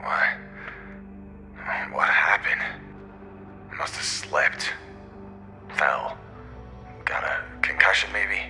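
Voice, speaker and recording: An actor playing a stranded, desperate male astronaut talking to himself, halting, strained and short of breath. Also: rumbling low, bright, forceful tone, faint music behind, exaggerated voice. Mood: dazed. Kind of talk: dramatic audio drama monologue.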